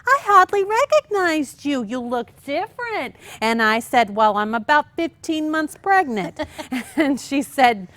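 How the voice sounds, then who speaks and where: High-pitched actress playing a pushy married woman, excitable chatty storyteller, persuasive, comic stage play, dry close sound. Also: measured pace, no room sound, full character voice. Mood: gleeful storytelling. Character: pushy, scheming, chatty younger married sister Mary, mother of kids.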